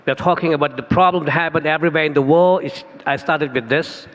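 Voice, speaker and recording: A man's voice: on the high side, quick pace, fairly bright tone. Thai-accented man giving an earnest keynote. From Thailand. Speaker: keynote speaker. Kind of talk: conference keynote speech. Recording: reverberant hall, dull lo-fi sound.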